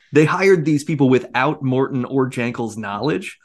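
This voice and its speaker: A man with a mid-pitched voice talks as a podcast co-host in a casual film discussion. He is animated and wry, slipping into mock quotes and sarcastic asides as he retells things. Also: measured pace.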